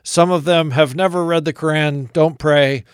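This is an American man hosting a radio interview, calm and attentive. His voice is smooth and fairly high for a man. The sound is dry and close to the mic. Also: normal speed, dry recording. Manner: calm, attentive, sums up the guest's words. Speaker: radio show host.

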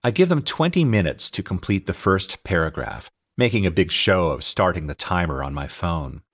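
Low-voiced American man narrating, very lively intonation. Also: normal speed, breathy edge. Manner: expressive, anecdotal storytelling, acting out dialogue. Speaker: author and teacher reading his own audiobook. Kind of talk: scripted narration, audiobook memoir.

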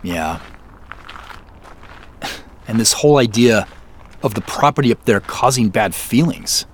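An actor playing a curious young executive visiting a farm, talking hesitantly with nervous laughs. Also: male voice, mid-range voice, animated, measured pace, a little hiss or hum, natural voice. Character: curious, unsettled young city executive man. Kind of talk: audio drama acting. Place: outdoors on a farm at night.

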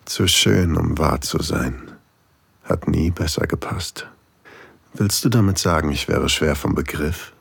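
A man's voice: a bass voice, unhurried, gravelly, a bit bright, breathy edge, no room sound, exaggerated voice. A man acting a flirtatious single father in an intimate erotic audio drama, teasing and a little hesitant, narrating his own thoughts. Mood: seductive, intimate.

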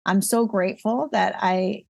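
A woman's voice: mid-range voice, steady tone, unhurried, gravelly, a little mellow, no room sound, clean studio sound. A middle-aged woman from Colombia, a guest in a casual interview, talking in a reflective, emotional way and laughing often.

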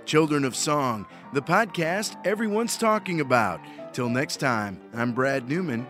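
A man's voice: fairly high pitch, expressive, unhurried, fairly bright tone. Man, middle-aged, podcast host, earnest, attentive, faint music under him. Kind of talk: interview talk and scripted outro.

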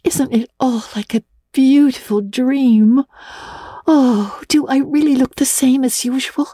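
A woman voicing a dreamy, dramatic young girl in a storybook, breathy and earnest. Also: high-pitched, steady tone, slow, mellow, no echo, studio quality, voice pushed up, exaggerated voice. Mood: breathless wonder.

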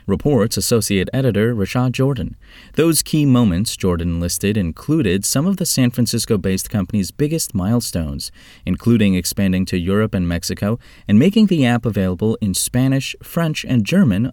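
A man's voice: fairly low pitch, a little mellow, no room sound, clean studio sound. A man reading scripted travel-style news briefs as a podcast host.

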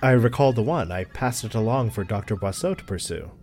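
An actor playing a formal, protective father in a science fiction audio drama, with precise, measured phrasing. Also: fairly low pitch, animated, darkish tone. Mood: gentle, attentive. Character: formal, doting, protective father running a telescope array.

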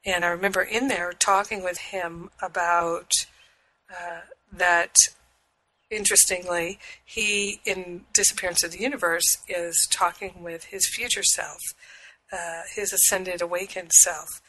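Middle-aged woman, spiritual radio host, husky from a cold, lowish bright voice, casual earnest delivery. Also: fairly even intonation, a little slow, poor audio. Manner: warm, earnest, chatty with asides and fillers.

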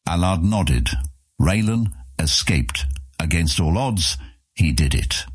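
A man narrates a fantasy tale in a very deep, husky voice. His delivery is scripted, measured and dramatic. The sound is close and very dry. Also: big pitch swings, no echo. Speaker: audiobook narrator.

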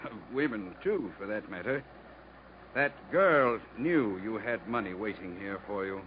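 A muffled vintage radio recording with faint noise carries a worldly riverboat captain. He is shrewd, wry and measured, in fifties radio drama style. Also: on the low side, lively intonation. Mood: sly, assured. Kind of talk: 1950s radio drama acting. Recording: dull, faintly noisy old radio recording.

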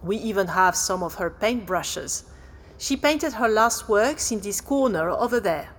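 An actress playing a helpful, slightly flustered exhibition guide, speaking politely and hesitantly in naturalistic audio drama dialogue. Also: female voice, medium pitch, expressive, a little slow. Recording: long hall-like reverb.

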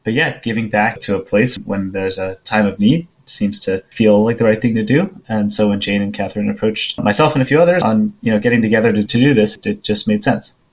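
A man from New Orleans, a podcast guest, chatting in a relaxed, self-deprecating way. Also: fairly low pitch, fairly quick, few pauses, highs cut off. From New Orleans, United States. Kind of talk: casual interview talk.

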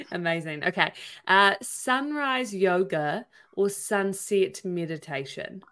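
A woman's voice: medium pitch, animated, slow, a bit bright. A woman working as a podcast host, speaking in an effusive, encouraging way as she introduces and interviews.

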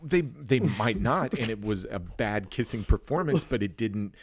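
A man with a low-pitched voice hosts a casual movie discussion. He rambles as he thinks aloud, and the recording is dry with very little room sound. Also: animated, normal speed, breathy edge, dry recording. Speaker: movie podcast host. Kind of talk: casual chat.

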